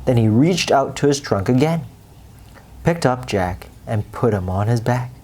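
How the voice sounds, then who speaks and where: A woman's voice: rumbling low, lively intonation, unhurried, dark tone. A woman reading a children's bedtime story aloud as storyteller.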